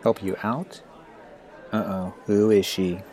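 A man's voice: lowish voice, flat delivery, takes it slowly, a little mellow, a faint crowd. A man playing a wry, awkward ninth-grade boy with a grown-up mind, hesitant and thoughtful, in an audio drama. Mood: helpful, wry.

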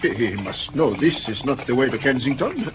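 Actor as a formal, excitable gentleman interpreter, animated delivery. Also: medium pitch, normal speed, close to an everyday voice. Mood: alarmed, indignant. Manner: formal, earnest, flustered when alarmed. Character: courteous, excitable Greek male interpreter, easily indignant. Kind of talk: mid-20th-century British radio play acting. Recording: tinny, slightly noisy old radio recording.

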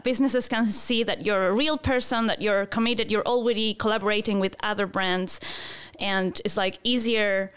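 A woman's voice: a little high, clear, resonant tone. A woman from Chile, a photographer chatting casually in an interview, with many fillers and restarts and frequent laughs. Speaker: photographer and guest.

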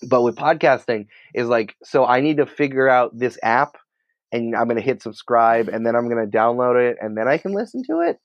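A man's voice: mid-range voice, expressive, fairly quick, dry recording, lo-fi sound. A man speaking as an interview guest in a casual, chatty, explanatory way, often dropping in "like". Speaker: interview guest, podcast company creative head.

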